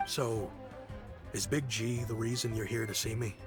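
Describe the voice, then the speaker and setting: An actor playing a proud yet kindly merchant in a video game, speaking in a friendly, reflective, softly rueful way. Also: male voice, lowish voice, steady tone, moderate pace, lightly acted. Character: nostalgic grown-up male merchant, proud but kindly. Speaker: businessman. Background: game music.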